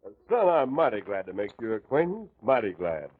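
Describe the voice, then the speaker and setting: An actor playing a hearty, courteous cowboy hero in 1940s radio style. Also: male voice, lowish voice, big pitch swings, brisk, phone-quality sound. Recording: husky, phone-thin 1940s radio recording.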